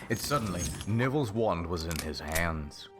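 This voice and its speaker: A man with a mid-to-low voice reads absurd fan fiction as a scripted comic narration. Faint music plays behind him as he tells it. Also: expressive, normal speed. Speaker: narrator of a comic reading video.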